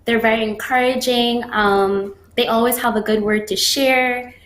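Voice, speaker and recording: A young Barbadian woman in her twenties, a guest athlete, answering interview questions in a relaxed, giggly way with lots of ums. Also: fairly high pitch, moderate pace, roomy sound.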